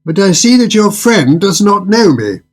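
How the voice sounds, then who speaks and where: Man playing purring, oily restaurant owner, higher-pitched. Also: big pitch swings, normal speed. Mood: unctuous. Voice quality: smooth, purring. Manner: effusive, elaborately courteous. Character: oily, sinuous male restaurant owner, courteous and sinister. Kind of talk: radio horror drama acting.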